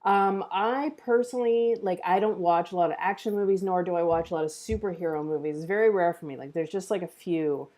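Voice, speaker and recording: A woman's voice: fairly low pitch, a little fast, few pauses, a little mellow. A woman hosting a livestream, chatting casually with the group. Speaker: table read host.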